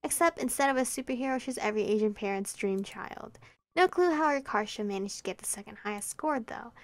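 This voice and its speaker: A woman's voice: fairly high pitch, animated, brisk, no echo. A young woman streamer reading a visual novel aloud in a light, chatty way into a close mic. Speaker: let's play streamer.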